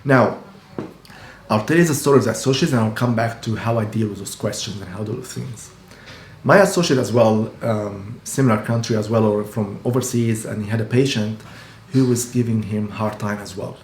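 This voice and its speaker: A man's voice: on the low side, moderate pace. A man, talking casually to camera as a dentist, earnest and explanatory with frequent restarts. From Egypt. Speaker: dentist and practice owner. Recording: echoey room with faint hum.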